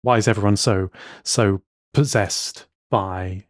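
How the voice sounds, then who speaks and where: A man's voice: on the low side, steady tone, slow pace, no room sound. Man in casual tech chat, close dry sound. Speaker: podcast co-host.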